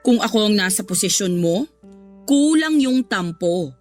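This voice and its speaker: An actress playing a sassy, indignant and supportive woman friend in a radio drama, talking colloquially with expressive exclamations. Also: medium pitch, a little slow, faint music behind, lightly acted. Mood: indignant, firm. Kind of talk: Tagalog radio drama acting.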